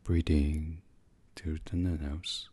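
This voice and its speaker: A man leading a guided sleep meditation in a hushed, breathy, unhurried voice that often slips toward a whisper, soothing and instructional. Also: extremely low pitch, little pitch movement.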